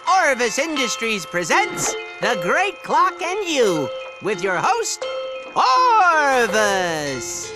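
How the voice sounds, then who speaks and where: An actor voicing an eager, nerdy little robot assistant in a video game, excitable and fawning. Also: male voice, very high voice, very slow, loud music behind the voice, exaggerated voice. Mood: eager excitement.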